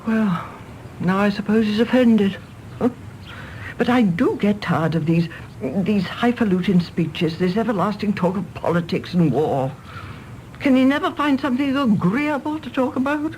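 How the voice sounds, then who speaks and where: A woman's voice: medium pitch, expressive, normal speed, a little mellow, slight background noise, natural voice, rough recording. A woman playing an imperious, querulous old British matriarch in a radio drama, haughty and scolding. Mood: peevish, scolding. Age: older. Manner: haughty, scolding, mock-affectionate.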